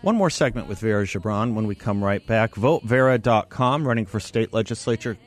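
A man's voice: on the low side, lively intonation, brisk, faint music behind. A middle-aged man with an American accent hosting a conversational talk radio interview in a studio. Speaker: radio talk show host. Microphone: close studio microphone.

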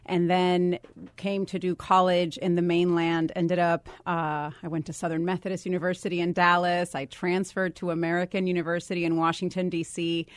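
A woman's voice: lowish voice, level tone, moderate pace, no echo. A woman in her forties from Puerto Rico, answering conversationally as an interview guest. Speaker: interview guest, government office director.

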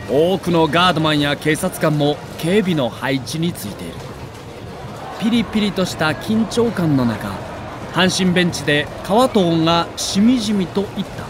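High-voiced man in dramatic narration, noisy backdrop. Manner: theatrical, emotive, emphatic delivery. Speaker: narrator of a baseball audio documentary.

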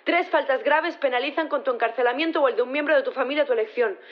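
Audio drama wife played by a woman: high-pitched, pleading, insistent, devoted, slightly dull sound. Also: quick pace, natural voice. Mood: desperate, insistent. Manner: emotional, insistent, repeating words.

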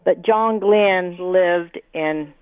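A woman's voice: on the low side, very slow. Woman caller on a tinny phone line. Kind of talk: casual chat.

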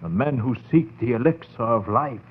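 A man's voice: medium pitch, lively intonation, normal speed, husky. An actor playing a sly, proud German doctor in a 1940s radio horror drama, theatrical and insinuating in his emphasis. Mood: sly, tempting. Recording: tinny phone-like 1940s radio recording.

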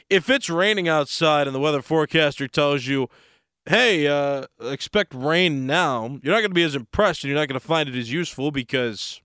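An American man hosting a sports talk radio show in a casual, unhurried monologue, pausing mid-thought, his voice slightly hoarse. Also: medium pitch, fairly bright tone, no echo, clean studio sound.

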